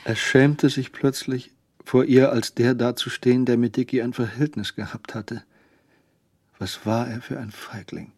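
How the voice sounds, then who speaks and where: An actor narrating a German crime radio play in a measured, wry and contemptuous manner. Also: male voice, medium pitch, fairly even intonation, a little mellow, slightly rough, no echo. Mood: brooding.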